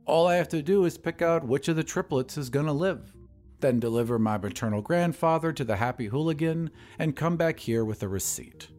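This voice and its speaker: A man's voice: on the high side, brisk, faint music behind, voice pushed up, close to an everyday voice. A man voicing a sullen, sardonic expectant father in an audiobook story. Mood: bitter, sulky. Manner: flat, sardonic.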